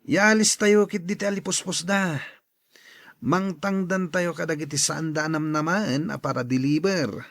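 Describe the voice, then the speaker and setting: A man's voice: fairly high pitch, normal speed, gravelly, fairly bright tone, no room sound. A man playing a jovial, scheming drug dealer who talks people round in an audio drama. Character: scheming, jovial male drug dealer luring poor neighbours.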